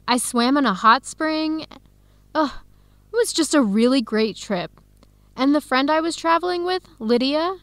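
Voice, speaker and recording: A woman's voice: on the high side, fairly bright tone, no echo. A woman acting an upbeat, chatty young woman in a scripted radio drama. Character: Helen, upbeat young woman searching for her birth family.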